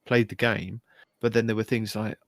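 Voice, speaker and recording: A man's voice: fairly low pitch, level tone, normal speed, no room sound. A man co-hosting a gaming podcast in casual, conversational chat. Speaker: podcast co-host.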